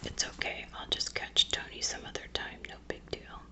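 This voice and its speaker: A wry older man , voiced by a woman reader, speaks in a bright, airy whisper. He is casual and a little evasive in this storybook dialogue. The words carry breath with no voicing. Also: moderate pace. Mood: placating.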